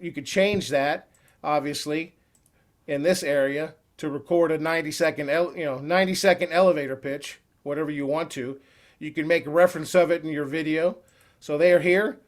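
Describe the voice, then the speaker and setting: A man in his forties giving an upbeat, salesy screen-share walkthrough as a software trainer on a computer call. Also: fairly high pitch.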